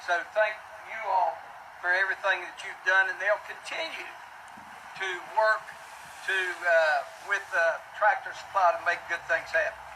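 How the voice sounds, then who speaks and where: High-pitched man speaking publicly, noisy distant mic. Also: fairly even intonation, moderate pace, bright, forceful tone. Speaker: city official speaking at an announcement. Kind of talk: press conference remarks. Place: public announcement event. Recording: noisy distant mic, ambient background hum.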